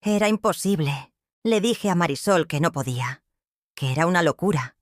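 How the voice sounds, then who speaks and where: An older woman with a Mexican accent narrating her own life story in a slow, heartfelt, reflective way. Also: lowish voice, expressive, no room sound, studio quality. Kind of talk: first-person storytelling narration.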